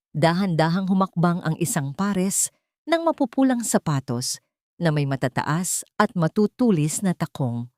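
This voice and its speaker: Woman, lower-pitched voice, dramatic story narration, measured and solemn, very dry close sound. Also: darkish tone, no room sound.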